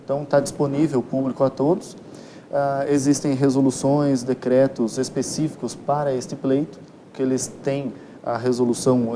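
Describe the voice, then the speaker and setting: A man's voice: mid-range voice, dark tone. A Brazilian man giving formal, explanatory answers as an expert interview guest, with precise, legalistic wording. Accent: Brazilian Portuguese. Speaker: interview guest, electoral lawyer. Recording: clear ambient background noise.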